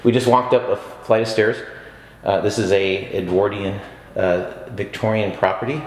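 A man's voice: fairly low pitch, steady tone, moderate pace. A man walking through an empty apartment on camera as a rental agent, narrating the tour with frequent fillers and restarts. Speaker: rental agent giving a walkthrough. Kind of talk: unscripted property tour narration. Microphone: camera mic on a handheld camera. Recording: echoey empty rooms with background noise.

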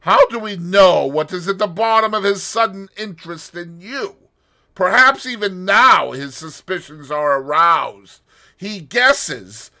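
A frantic Russian conspirator, bitter and jumpy, voice leaping high and loud, as a man reading the tale puts him on. He rants and snarls in a strained, animated way, with a bright edge. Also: takes it slowly, gravelly, hardly any pauses, full character voice. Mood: agitated. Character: excitable Russian male conspirator, bitter and agitated.

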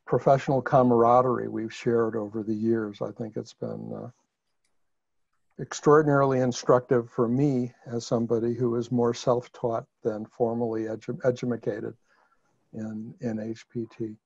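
A man's voice: mid-range voice, flat delivery, moderate pace, gravelly, darkish tone, breathy edge, no room sound. A man with a Chicago accent presenting a webinar over a video call, talking conversationally with fillers and restarts. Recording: muffled video call audio.

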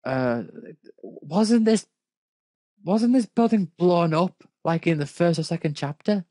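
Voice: mid-range voice, lively intonation, slow, mellow, no echo. A person reading fan fiction aloud casually, with hesitant, dry critical asides. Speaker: fanfic reader and commentator.

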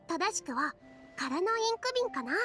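アニメ調ゲームで、女性声優が演じる若い森の妖精。柔らかく優しい、ひたむきな話し方。. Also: female voice, high-pitched, big pitch swings, moderate pace, faint music behind, exaggerated voice. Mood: bright, encouraging. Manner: soft, gentle, earnest. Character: gentle young female forest fairy, kind and earnest. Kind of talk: anime video game voice acting.

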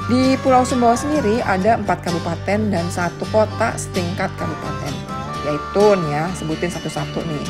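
A woman's voice: medium pitch, fairly quick. A woman in her forties, a mother, narrating a family road-trip vlog in a casual, chatty voice-over with conversational asides. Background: background music.

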